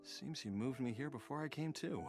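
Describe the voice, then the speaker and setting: A man's voice: medium pitch, moderate pace, music in the background, lightly acted. An actor playing a grateful, mild-mannered father recovering from illness in a video game, speaking hesitantly with sighs. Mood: grateful, puzzled.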